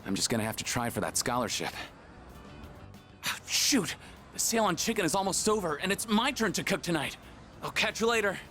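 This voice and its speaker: An actor playing a casual, chatty, sincere teenage student athlete in a video game. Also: male voice, high voice, sing-song, brisk, sharp tone, faint music behind, heavily acted. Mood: wistful, then flustered.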